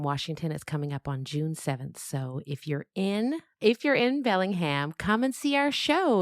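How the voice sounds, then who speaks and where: A woman's voice: sits low, clear, resonant tone, no room sound, clean studio sound. A woman hosting a podcast, speaking casually to her listeners as she gives announcements. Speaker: podcast host and creator.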